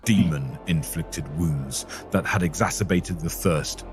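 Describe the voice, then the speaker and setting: A man's voice: deep voice, unhurried, soft background music. A man reading scripted, dramatic narration for an audio story.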